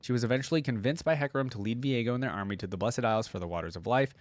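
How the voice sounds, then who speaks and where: A man's voice: mid-range voice, very fast, no room sound. A man hosting a reaction video, reading a wiki aloud and adding casual asides in his own words.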